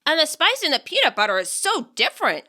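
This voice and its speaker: A woman's voice: sits high, wildly swooping pitch, quick pace, pushed and bright, pitched above the performer's own voice, full character voice. A woman voicing a friendly, playful teenage girl traveler in an audiobook story, chirpy and appreciative. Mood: impressed.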